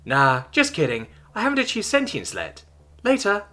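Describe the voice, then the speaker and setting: A man's voice: high-pitched, huge pitch swings, normal speed, sharp tone, faint music behind, pitched above the performer's own voice, heavily acted. A man voicing a laid-back robot nurse android that talks in surfer slang. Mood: chill bro. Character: cheerful bro-talking robot male nurse android.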